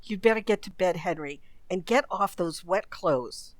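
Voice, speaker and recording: A woman's voice: fairly low pitch, moderate pace, no echo, close to an everyday voice. A woman playing an impatient, suspicious well-to-do wife who questions sharply in a radio mystery. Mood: impatient, scolding. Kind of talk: old radio mystery acting.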